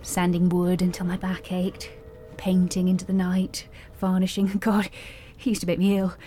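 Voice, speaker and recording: An actress playing a nervous, apologetic lighthouse hostess who rambles in a flustered way in an audio drama. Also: female voice, lowish voice, completely flat delivery, normal speed, warm tone, soft background music, close to an everyday voice. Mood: wistful, defensive.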